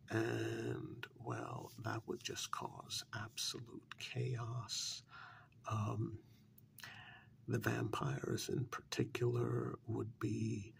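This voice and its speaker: A man playing a deferential, flustered supernatural manservant in a soft-spoken ASMR role-play, hesitant and apologetic, with a soft, breathy, gravelly voice. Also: medium pitch, a little hiss or hum, heavily acted. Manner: hesitant, apologetic, obedient, explaining carefully.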